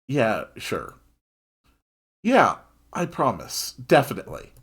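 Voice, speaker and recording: Reserved, downcast male student in a visual novel, read by a man: husky, slow, over music. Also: mid-range voice, wildly swooping pitch, fairly bright tone, heavily acted. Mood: placating. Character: reserved, awkward teenage schoolboy, guarded and downcast.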